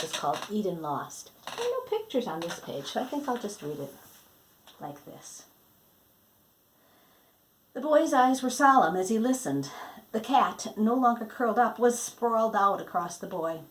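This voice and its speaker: A woman reading a children's book aloud in a dramatic, emphatic storytelling manner with pauses. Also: mid-range voice, sing-song, slight background noise, low-quality recording. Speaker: storybook reader.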